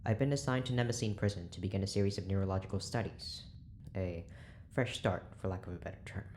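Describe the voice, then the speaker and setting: Low-pitched, clinical, curt male researcher for audio drama, played by an actor; formal diction, some background noise. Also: fast talker, darkish tone, natural voice. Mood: clinical, detached. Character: cold, officious male prison neurology researcher and doctor.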